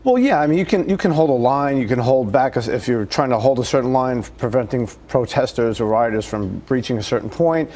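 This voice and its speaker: A man's voice: mid-range voice, expressive, brisk, few pauses, a little mellow. A man giving conversational, critical commentary as a guest in a news interview. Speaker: guest commentator, retired detective.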